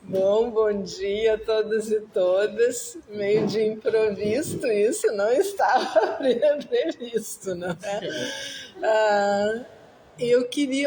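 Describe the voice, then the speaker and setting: A woman lecturer speaking off the cuff as a guest, halting and often adding tag questions, in a thank-you speech. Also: medium pitch, darkish tone, a little hiss or hum, rough recording.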